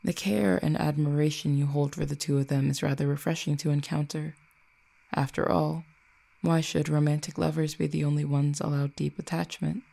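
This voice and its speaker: A performer voicing an ancient fallen cupid in a roleplay audio drama, poised and wry, speaking to the listener in formal, eloquent, measured phrases. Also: mid-range voice, level tone, vocal fry, mellow. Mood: calm, intrigued.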